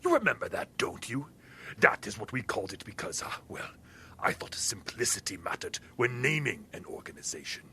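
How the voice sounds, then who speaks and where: A man's voice: fairly low pitch, big pitch swings, fairly quick, bright, forceful tone, in a whisper, exaggerated voice. An actor playing a sly, smug crime boss posing as a businessman in an audio drama, pompous and taunting, slipping between murmurs and flourishes. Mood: gloating, sly.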